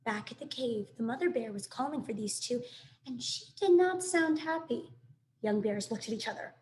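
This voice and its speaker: High-voiced woman reading a children's story. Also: low-quality recording. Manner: animated, dramatic sound effects and asides. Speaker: teacher reading a children's book aloud. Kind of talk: expressive read-aloud storytelling.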